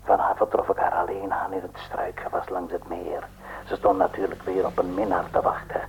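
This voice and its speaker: An actor playing a deranged killer on the telephone in a radio play, with a hoarse, breathy whisper and a fanatical, mocking manner. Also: male voice, low voice, flat delivery, a little fast, slight background noise, rough recording. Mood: sly, gloating. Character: deranged male killer taunting police by telephone.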